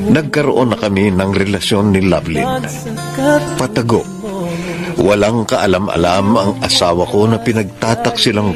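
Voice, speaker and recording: An actor playing an earnest unfaithful husband in a Filipino radio drama, speaking as if confessing. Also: male voice, on the low side. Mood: confessional, guilty. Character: earnest married man confessing forbidden love, unfaithful husband.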